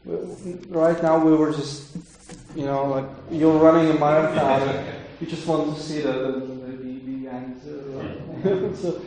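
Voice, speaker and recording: A man from the Czech Republic, a native Czech speaker with a Czech accent, answering audience questions in a halting, casual way with many fillers and restarts. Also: fairly high pitch, fairly even intonation, dark tone. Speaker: Bible translator answering questions. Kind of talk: casual Q&A talk. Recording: very echoey hall, faint noise.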